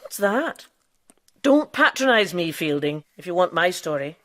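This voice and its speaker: A woman's voice: on the low side, very animated, a little slow, fairly bright tone, no echo. An actress in a radio drama playing an impudent, boastful female quack doctor who lives as a man. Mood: defiant. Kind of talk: radio drama acting with narration.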